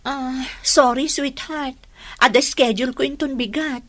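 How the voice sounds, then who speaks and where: A woman's voice: high voice, steady tone, a little slow, a bit bright. An actress playing a spoiled, vain young girlfriend in a radio drama, sugary and pouty, coaxing with endearments in an Ilocano accent. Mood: sweetly demanding. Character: spoiled, vain young girlfriend who sweetly wheedles and demands.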